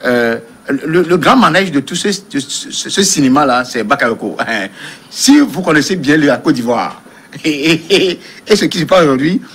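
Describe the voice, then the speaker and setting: A man's voice: a little high, a bit bright, breathy edge. A man debating politics as a panel commentator, emphatic and repeating words to drive his point home.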